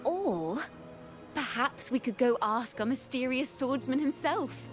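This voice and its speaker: A woman playing a composed, knowing video game character who speaks in measured, articulate storytelling. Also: sits high. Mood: composed, intrigued. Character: composed, knowing woman recounting heroic events. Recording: music behind the voice.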